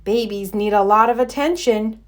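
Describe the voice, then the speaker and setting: A woman voicing a calm, kindly animal mother who explains and reassures in a children's storybook. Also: on the high side, moderate pace, lightly acted. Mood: reassuring.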